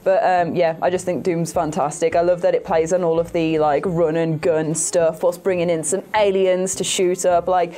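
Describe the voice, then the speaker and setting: A woman's voice: fairly low pitch, flat delivery, moderate pace, hardly any pauses, warm tone. A woman presenting in a casual film chat, playful, enthusiastic and given to swearing. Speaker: video game show presenter.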